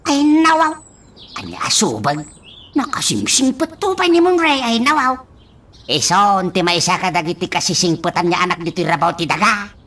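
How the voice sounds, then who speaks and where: A woman playing a chatty, excitable household helper in an Ilocano comedy radio drama, exclamatory and theatrical, with an Ilocano accent. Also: on the low side, normal speed. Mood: indignant surprise. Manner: exclamatory, theatrical, repeats catchphrases.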